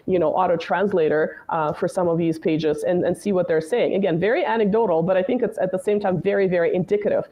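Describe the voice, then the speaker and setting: A woman's voice: lowish voice, warm tone, low-quality recording. A woman speaking as a guest commentator in a casual political discussion, talking at length and often adding "right?" and "you know". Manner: talkative, many "right?" and "you know" tags.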